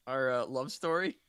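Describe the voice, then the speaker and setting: A man's voice: mid-range voice, fairly bright tone, smooth, dry recording, clean studio sound. A man telling roleplay gaming stories in a casual podcast chat. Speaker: podcast participant, game roleplay streamer.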